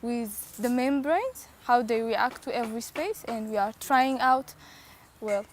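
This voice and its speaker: A high-voiced woman speaks with enthusiasm in a relaxed interview. Faint noise hums behind her. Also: measured pace. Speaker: workshop participant. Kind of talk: casual interview.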